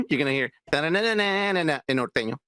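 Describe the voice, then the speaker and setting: A man's voice: fairly high pitch, lively intonation, quick pace, sharp tone, a little hiss or hum. A man co-hosting a podcast in casual banter, laid-back and teasing, often laughing. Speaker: podcast co-host.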